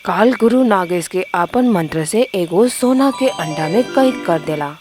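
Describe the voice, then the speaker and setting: A woman's voice: on the low side, lively intonation, unhurried, a little mellow, faint music behind. A woman reading a scripted fairy tale as narrator, in a dramatic storytelling style.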